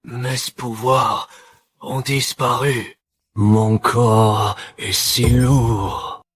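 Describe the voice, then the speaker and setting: A man playing an analytical, guarded warrior with a husky voice in a dubbed animated series. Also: mid-range voice, extremely slow, no echo. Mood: weakened.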